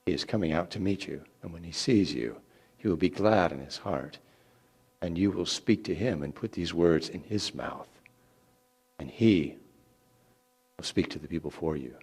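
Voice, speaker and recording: A man voicing a solemn, commanding male God who speaks with deliberate authority in a dramatic scripture reading. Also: low voice, lively intonation, a little mellow, slightly rough, voice pushed down, exaggerated voice. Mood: angered, firm.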